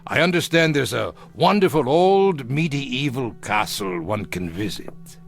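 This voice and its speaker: Smug, gravelly former officer, coyly probing. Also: male voice, mid-range voice, lively intonation, unhurried, soft background music. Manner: coy, falsely casual, hesitant probing. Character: smug middle-aged German former Nazi officer posing as tourist. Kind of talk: radio drama acting.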